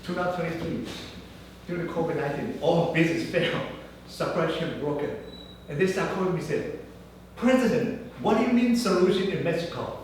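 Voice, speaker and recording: Non-native English man, guest speaker, animated storyteller, slightly high voice, cavernous room, distant mic. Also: fairly quick. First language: not English. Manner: animated storyteller acting out dialogue. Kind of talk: anecdotal business talk. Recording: echoey room, mic far off, faint noise.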